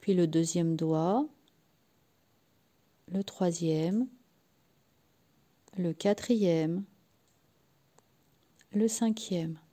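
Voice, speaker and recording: Female relaxation guide, dark mellow timbre, soft and at times breathy, very slow soothing delivery with long pauses. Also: lowish voice, steady tone. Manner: soothing, unhurried, with long pauses between short phrases. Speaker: guided meditation and sophrology practitioner. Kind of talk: guided yoga nidra relaxation.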